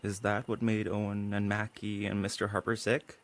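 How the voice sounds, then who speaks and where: A man's voice: lowish voice, flat delivery, normal speed, lightly acted. A male actor playing a curious, frightened son in a post-apocalyptic audio drama. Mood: curious, hesitant.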